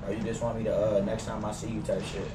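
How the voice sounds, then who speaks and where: A man's voice: fairly low pitch, level tone, fast, a crowd in the background. A young American man chatting casually in slang while streaming a game. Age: young adult. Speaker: game streamer roleplaying in a crime game. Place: room at home, indoors. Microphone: gaming headset. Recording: noisy, echoey, slightly distant game stream. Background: game car and ambience noise.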